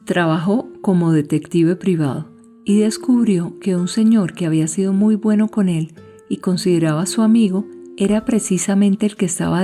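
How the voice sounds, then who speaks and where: A woman's voice: on the low side, warm tone. A woman, narrating a scripted story for a podcast.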